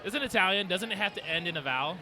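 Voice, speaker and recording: A young man with a bright, forward voice that sits a little high for a man. He chats casually and laughs easily while sipping a beer outside at a brewery. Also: expressive, quick pace, some chatter behind, a little hiss or hum. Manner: laughs often, jokey and chatty. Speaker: podcast co-host. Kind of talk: casual chat over beers. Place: outdoors at a brewery patio.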